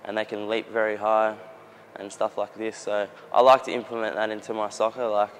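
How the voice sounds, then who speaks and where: A man's voice: on the low side, flat delivery, a little fast, slight background noise. A young Australian man from Melbourne answers interview questions earnestly, often repeating words as he searches for phrasing. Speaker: young footballer. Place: outdoors near a gym with traffic.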